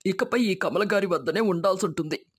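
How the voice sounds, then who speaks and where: An actor playing a stern, henpecked uncle who speaks in a firm, forbidding manner in a story. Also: male voice, high voice, fairly quick, slightly rough.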